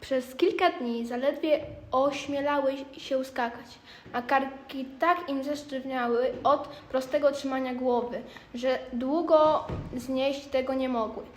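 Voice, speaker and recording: A woman's voice: sits high, fairly even intonation, takes it slowly. A young girl reading scripted storybook narration for an amateur radio play. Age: child or young teen. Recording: echoey room, faint noise.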